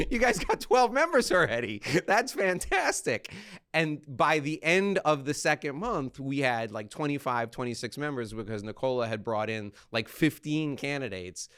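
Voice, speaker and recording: Casual male podcast host, clear smooth voice. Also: mid-range voice, very animated, moderate pace, a bit bright. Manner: chatty, informal storytelling. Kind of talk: casual anecdotal interviewing.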